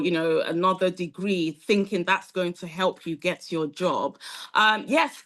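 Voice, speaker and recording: A woman's voice: on the low side, fairly bright tone. A woman instructor leading a live online masterclass, talking directly and encouragingly to her students, with frequent fillers. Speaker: instructor at a training academy.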